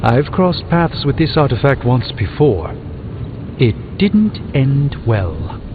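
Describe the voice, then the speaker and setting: Pompous sorcerer, thin phone-like sound. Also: male voice, mid-range voice, very animated, slow pace, background noise. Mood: intrigued, appraising. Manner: pompous, theatrical, ornate diction. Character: erudite, pompous adult male sorcerer, dryly witty. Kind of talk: video game voice acting. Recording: muffled phone-like band, music behind.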